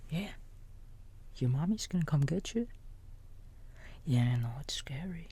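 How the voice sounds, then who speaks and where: A man playing a kindly raccoon babysitter in a bedtime ASMR roleplay, whispering softly and gently reassuring a small child in a folksy, halting way. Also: fairly high pitch, animated, full character voice. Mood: soothing, playful. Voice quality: soft, breathy whisper. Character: kindly big-brotherly male raccoon babysitter.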